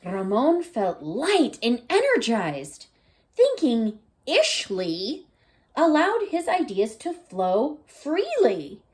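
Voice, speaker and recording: Woman, high voice, slow deliberate pace, children's read-aloud, teacherly, gently questioning. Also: wildly swooping pitch. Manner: gentle questioning, prompting listeners to think. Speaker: teacher reading a picture book aloud. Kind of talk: expressive children's read-aloud with asides to listeners.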